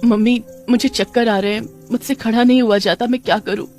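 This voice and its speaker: A woman's voice: fairly high pitch, fairly even intonation, fairly quick, a little mellow, soft background music, pitched above the performer's own voice, natural voice. A woman voicing a wronged young widow in a cartoon story, plaintive and protesting. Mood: tearful, pleading. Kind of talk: Hindi cartoon story voice acting.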